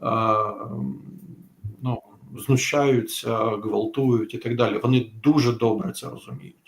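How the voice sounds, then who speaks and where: A man speaking in a serious unscripted discussion, halting and deliberate, pausing as he searches for words. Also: mid-range voice, steady tone, vocal fry.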